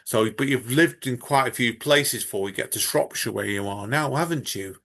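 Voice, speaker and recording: A man's voice: mid-range voice, normal speed, a bit bright. A man hosting a podcast, chatting casually and laughing often as he talks to a guest over a video call. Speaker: podcast host. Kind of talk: casual interview chat.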